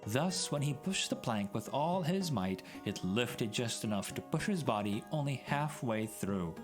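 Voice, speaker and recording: Theatrical man reading aloud, swooping pitch. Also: animated, a little slow. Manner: theatrical, mock-grand storyteller delivery. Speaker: streamer reading a story aloud. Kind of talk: dramatic storybook reading. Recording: background music mixed under voice.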